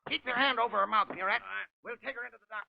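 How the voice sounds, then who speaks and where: An actor playing a slangy, wisecracking American gangster henchman with a gravelly voice in a 1930s radio drama. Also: very high voice, very fast, full character voice. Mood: tough, mocking. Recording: muffled vintage 1930s radio recording.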